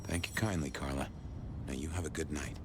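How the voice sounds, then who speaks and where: An actor playing a wry, probing detective in a video game, coolly conversational and casually polite. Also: male voice, very deep voice, steady tone, fairly quick. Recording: clear background ambient noise.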